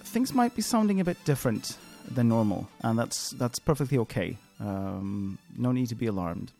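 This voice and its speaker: Swedish-speaking man, podcast host, solo monologue, thinking out loud, medium pitch. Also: lively intonation, moderate pace, creaky, faint music behind. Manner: thinking aloud, frequent fillers and restarts.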